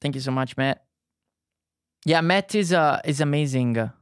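Southern Italian male streamer, thirties, halting friendly chat, dry close sound. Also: a little high, smooth, no echo. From Italy. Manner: halting, frequent restarts and fillers, friendly. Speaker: art streamer. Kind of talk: casual live-stream chat while painting.

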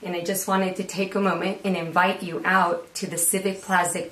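A woman's voice: on the low side, little pitch movement, moderate pace, fairly bright tone. A woman in her thirties, a guest author, talking informally to the camera. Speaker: children's book author, guest. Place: small indoor room with window air conditioner. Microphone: camera a few feet away. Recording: echoey room.

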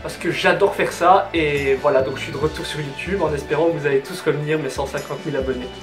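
A man's voice: fairly high pitch, steady tone, fast talker. An eighteen-year-old man with a Belgian French accent from Hainaut, chatting casually and emphatically to camera. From southern Belgium. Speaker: YouTuber answering viewer questions. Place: small bedroom, indoors. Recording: roomy bedroom sound, music underneath. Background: music.

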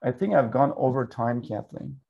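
A man's voice: mid-range voice, animated, creaky, narrow, tinny audio, noisy background. A man, speaking thoughtfully as a guest on a webinar from a room at home over a video call. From Iran. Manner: thoughtful, drawn-out phrasing, tells a parable with voices. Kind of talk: reflective talk on a webinar. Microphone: video call webcam.

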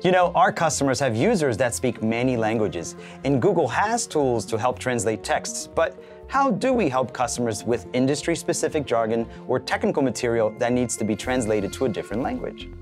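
A man's voice: mid-range voice, sing-song, a little fast, faint music behind. A man in his forties hosting a scripted tech segment, asking questions in an upbeat way. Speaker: show host. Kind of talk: scripted studio banter. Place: studio set with map backdrop.

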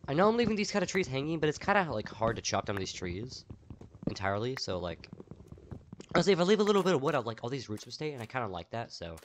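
A man's voice: mid-range voice, very animated, quick pace, slight background noise. A young man giving casual, upbeat gameplay commentary and thinking aloud with lots of fillers, his voice worn and strained from talking all day. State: voice tired and strained. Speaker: gaming YouTuber.

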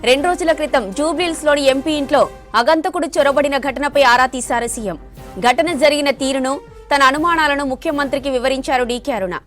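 A woman news anchor. Music plays softly beneath her delivery. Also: high-pitched, brisk. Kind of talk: scripted news bulletin reading. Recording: music bed under the voice.

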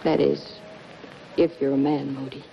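A woman's voice: a bass voice, level tone, unhurried, gravelly, heavily acted. An actress in her thirties playing a bitter, scheming, world-weary gangster's woman, in clipped, dramatic 1950s noir style. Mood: scheming, hard. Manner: hard-boiled, clipped, dramatic. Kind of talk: 1950s noir film acting. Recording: dull, noisy 1950s film soundtrack.

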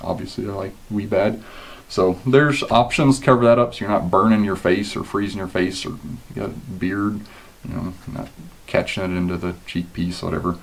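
A man in his thirties with a low voice talks easily about rifle gear to a camera set up on his table. His delivery is relaxed and conversational, dotted with ums and you-knows. He's recorded in a small indoor gun room. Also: normal speed, gravelly, poor audio. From Kansas, USA. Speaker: competition shooter and channel host. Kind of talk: casual gear talk to camera.

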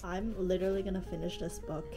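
A woman's voice: fairly low pitch, steady tone, quick pace, loud music behind the voice. A young woman in her late teens with a stuffy nose from allergies, chatting casually in her bedroom about a book she is reading, full of "like" and "um". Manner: chatty, lots of "like" and "um", easygoing. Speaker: vlogger. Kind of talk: casual reading vlog chat. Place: bedroom, indoors. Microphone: camera at close range.

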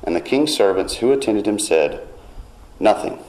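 A man preaching a sermon in church, retelling a scripture story in a measured way and reading verses aloud with rising emphasis. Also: on the low side, expressive, a little mellow, low-quality recording.

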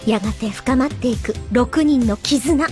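A woman's voice: fairly high pitch, a little slow. A woman narrator reading a scripted radio drama introduction and recap. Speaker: announcer narrator. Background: music.

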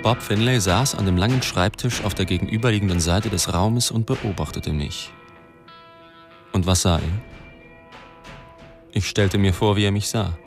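A man's voice: fairly low pitch, normal speed, long pauses, lightly acted. An actor playing a self-loathing, cynical reporter who narrates his own story in a brooding, confessional way. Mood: wry, brooding. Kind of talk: first-person radio play narration. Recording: music behind the narration.

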